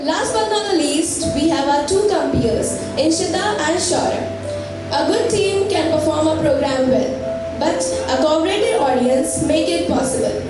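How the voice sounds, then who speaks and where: High young female presenter under loud music. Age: young, school student. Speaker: student presenter giving thanks. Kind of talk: scripted formal vote of thanks. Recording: echoey hall, distant mic, loud music.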